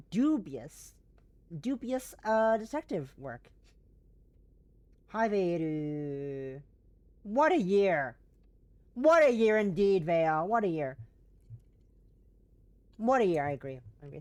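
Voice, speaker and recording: A woman streamer chatting casually with her viewers, her voice hoarse and fading and her nose blocked, talking in bursts with lots of restarts. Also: mid-range voice, sing-song, unhurried, long pauses, no room sound. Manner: chatty and warm to chat, frequent restarts. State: hoarse, voice going.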